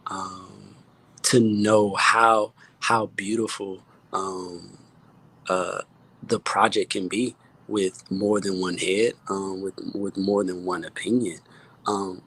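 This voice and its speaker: A man's voice: on the low side, a bit bright, slightly rough, rough recording. A man speaking as a guest in a casual panel chat, candid and emphatic, often repeating himself as he builds a point. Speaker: music producer, panel guest.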